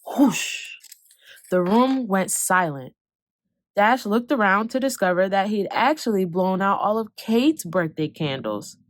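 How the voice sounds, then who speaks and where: A woman reading a children's picture book aloud, expressive, slowing down for calm moments and speeding up for excitement. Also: mid-range voice, no echo, clean studio sound. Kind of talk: read-aloud storytelling.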